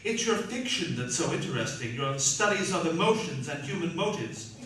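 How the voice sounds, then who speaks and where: A man's voice: fairly high pitch, sing-song, moderate pace. Man playing candid mind-reading robot in echoey room. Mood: dismissive, candid. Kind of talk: stage-style radio theatre acting. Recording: echoey room, distant mic, faint noise.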